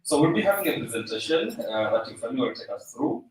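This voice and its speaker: Male co-presenter, Tanzanian accent, mid-pitched, recorded at a distance with heavy echo. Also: sing-song, fast talker. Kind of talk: informal presentation introduction. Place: conference room. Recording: very echoey room, distant mic.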